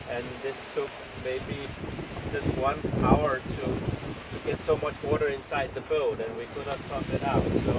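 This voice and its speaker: A man with a Danish accent, a rescued sailor speaking haltingly over a boat radio, repeating words with many pauses. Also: mid-range voice. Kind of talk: radio call. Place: on a sailboat at sea. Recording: windy distant radio audio. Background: wind and sea noise.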